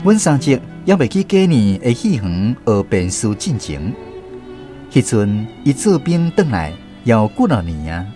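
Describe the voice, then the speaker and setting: Lively Taiwanese male radio storyteller. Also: medium pitch, a little slow, music in the background. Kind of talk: scripted storytelling narration for radio.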